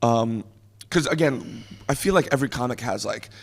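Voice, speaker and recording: Chatty Canadian podcast host. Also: male voice, mid-range voice, animated, normal speed. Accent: Canadian English. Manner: chatty, asks questions, laughs a lot. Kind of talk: casual interview chat.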